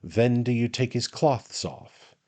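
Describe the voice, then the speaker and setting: A man's voice: medium pitch, slow pace, darkish tone, voice pushed up. A man voicing a grave, droll senior coachman with a Cockney accent in a comic storybook. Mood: dryly facetious. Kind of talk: dramatised audiobook reading of a comic Victorian novel.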